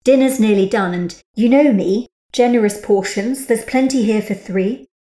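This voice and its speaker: A woman's voice: mid-range voice, moderate pace, warm tone, reverberant, close to an everyday voice. A woman voicing a stiff, strained middle-aged mother who talks in a clipped, proper way.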